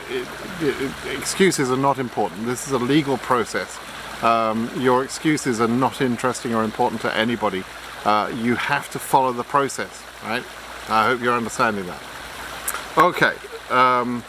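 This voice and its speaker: A man's voice: medium pitch, background noise. A man giving blunt, frank advice in an unscripted explainer, lecturing with frequent ums and restarts. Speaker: immigration advice vlogger.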